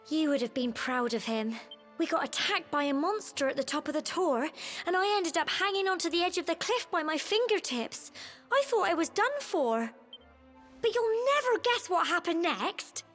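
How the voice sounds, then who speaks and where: A young woman voicing a sweet, earnest village girl in a video game, gentle and wide-eyed. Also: very high voice, expressive, moderate pace. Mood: excited storytelling. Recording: clear music underneath.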